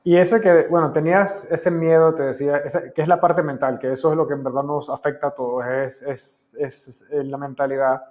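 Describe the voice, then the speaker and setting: A man's voice: a little high, steady tone, moderate pace, hardly any pauses, a little mellow. A man from the Americas hosts a podcast interview, talking in a loose, conversational way and restarting his sentences. His voice sits a little above the usual male register. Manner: conversational, restarts and rambling questions. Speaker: podcast host.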